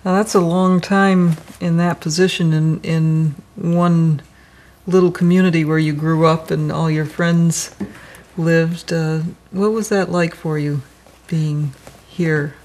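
Woman interviewer, gentle prompting questions. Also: low-pitched, steady tone, takes it slowly. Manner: gentle prompting with frequent "mm-hmm". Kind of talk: oral history interview.

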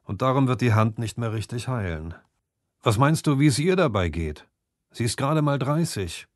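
A man's voice: medium pitch, animated, normal speed, dry recording, clean studio sound, lightly acted. Stern, duty-bound marksman, read by a man. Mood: stern, reproachful. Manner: firm, plain-spoken, admonishing.

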